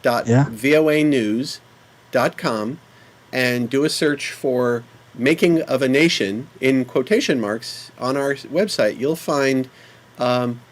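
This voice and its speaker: Man hosting a learner chat show, friendly, explains things. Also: medium pitch. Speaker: host. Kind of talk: casual chat.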